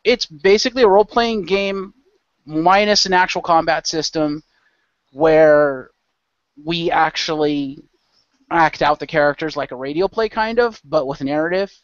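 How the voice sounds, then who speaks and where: A man's voice: a little high, measured pace, clean tone, dry recording. A man hosting and narrating a role-play show as game master in a loose, informal way. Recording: dull lo-fi close microphone.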